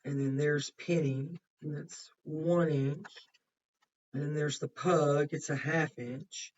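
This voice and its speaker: A woman's voice: low voice, fairly even intonation, slow, highs cut off. A woman kindergarten teacher reading a picture book aloud to young children and explaining it simply as she goes.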